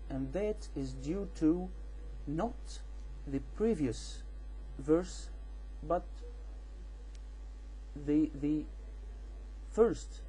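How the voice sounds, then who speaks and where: A man with an Egyptian accent, a native Arabic speaker, giving an informal explanatory rebuttal in a halting, repetitive, insistent way. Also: on the high side, warm tone, rough recording. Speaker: amateur video responder on religion.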